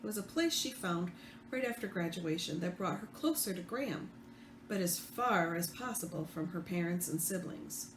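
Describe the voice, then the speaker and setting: A woman's voice: lowish voice, lively intonation, normal speed. Woman reading a novel aloud, roomy echo. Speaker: author reading own book. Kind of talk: read-aloud fiction narration. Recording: roomy echo, faint background noise.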